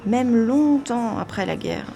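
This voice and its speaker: A woman's voice: fairly high pitch, lively intonation, slow pace, gravelly, mellow, heavily acted. A woman playing a soothing, emotionally moved mother in a French audio drama. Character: mother, moved and soothing, remembering her grandparents.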